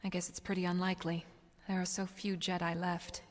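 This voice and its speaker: A woman's voice: fairly low pitch, level tone, fairly quick, big-room sound, natural voice. A woman playing a guarded rebel commander in a space opera audio drama, speaking with firm, probing authority. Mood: probing, wry.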